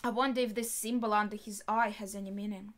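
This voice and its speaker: A woman in her twenties reacting casually on camera in a small room, thinking aloud in a halting way. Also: mid-range voice, steady tone. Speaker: reaction video host. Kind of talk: casual spoken reactions to an anime episode. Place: small bedroom, indoors.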